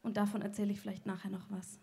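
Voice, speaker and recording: A woman's voice: mid-range voice, monotone, very fast, gravelly, warm tone. A woman from the southern Palatinate in Germany, a guest speaker chatting casually in a stage interview.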